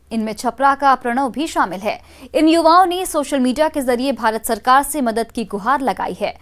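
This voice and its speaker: A woman anchoring a regional TV news bulletin in a crisp, formal way. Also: fairly high pitch. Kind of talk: studio news presenting.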